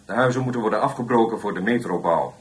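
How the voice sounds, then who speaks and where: An actor playing a formal, neutral radio newsreader delivering a scripted bulletin in a radio drama. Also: male voice, fairly low pitch, fast, natural voice. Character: formal, impersonal radio newsreader reporting riots. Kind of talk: scripted news reading. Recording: noisy, clicking radio broadcast.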